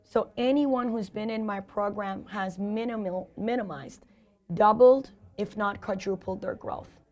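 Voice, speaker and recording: Hoarse woman coaching with motivational punch. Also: mid-range voice, a little slow, a little mellow. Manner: emphatic, motivational, repeats key phrases. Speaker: sales and leadership coach, guest. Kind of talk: energetic interview answers.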